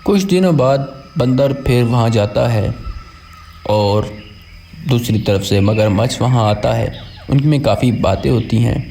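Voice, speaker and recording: A man's voice: medium pitch, creaky, warm tone, slight background noise. A man telling a children's moral story in a plain conversational way, voicing the dialogue within his narration.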